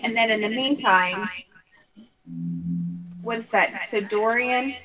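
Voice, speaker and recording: A woman's voice: fairly high pitch, slow, pushed and bright, muffled recording. A woman chatting casually and informally as a book club member, reacting to a story with friends. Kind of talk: casual chat.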